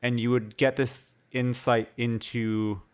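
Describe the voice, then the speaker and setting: A young man from Canada co-hosting a podcast, with a medium-pitched voice. He speaks reflectively and pauses often to find his words, in relaxed casual chat. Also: clean tone. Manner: thoughtful, reflective, hesitant. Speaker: podcast co-host.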